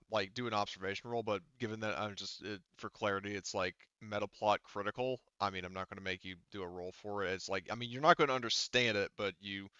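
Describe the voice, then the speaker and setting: A man running a tabletop roleplaying game as game master, improvising casual narration in a halting, self-correcting way with many fillers. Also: on the low side, a bit bright, smooth, no room sound, dull audio.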